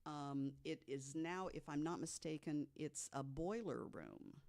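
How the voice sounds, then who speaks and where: A woman telling ghost stories in a casual, chatty way as a podcast guest, quick to laugh. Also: deep voice, darkish tone. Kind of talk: casual storytelling chat.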